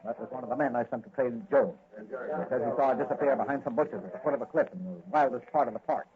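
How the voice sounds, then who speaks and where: An actor playing a gruff, worried newspaper editor, urgent and bossy, in 1940s radio style. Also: male voice, mid-range voice, quick pace, a crowd in the background, lightly acted. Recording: dull old radio recording.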